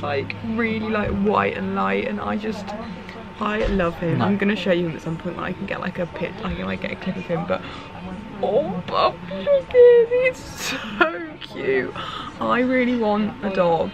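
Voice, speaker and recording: A young woman in her twenties, slightly hoarse, chatting casually to a handheld camera in a vlog, full of "like" fillers. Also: mid-range voice. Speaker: vlogger. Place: car, then café bus. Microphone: handheld camera at arm's length.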